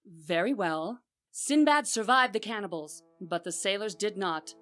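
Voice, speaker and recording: An actress playing a female storyteller, narrating adventure tales for a radio play in a measured, theatrical way. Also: medium pitch, lively intonation, a bit bright, soft background music. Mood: matter-of-fact.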